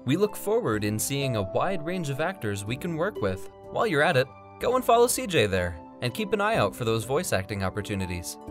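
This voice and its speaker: A man voices a friendly storyteller mage stepping out to talk to the audience. His delivery is upbeat and inviting, like a character hosting an online promo. Music plays clearly beneath him. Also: medium pitch, big pitch swings, brisk, few pauses, natural voice. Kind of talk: in-character promotional outro to viewers.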